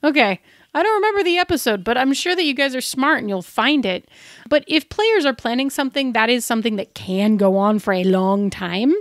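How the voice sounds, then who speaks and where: A woman with a fairly high voice talks informally as a podcast co-host. Her delivery is casual and chatty, like advice shared in discussion, and the sound is close and dry. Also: a little fast, no room sound.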